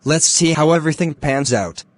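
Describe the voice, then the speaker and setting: A man playing a courteous, easygoing young film star in a scripted cartoon dialogue for English learners, speaking in a deliberate way. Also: fairly high pitch, expressive, dry recording. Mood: pleasant, courteous.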